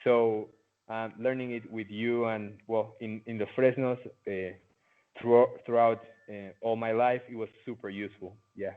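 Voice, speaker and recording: A man's voice: lowish voice, fairly even intonation, unhurried. A young man in his late teens from Mexico with a Mexican Spanish accent, a native Spanish speaker, answering interview questions in a loose, chatty way full of "like" fillers, heard over a video call. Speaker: interviewee, former student. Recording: tinny phone-like line.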